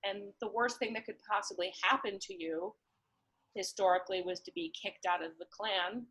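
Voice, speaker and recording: A woman's voice: medium pitch, moderate pace, a bit bright, slightly rough, poor audio. A woman talking reflectively in casual conversation, thoughtfully searching for her words.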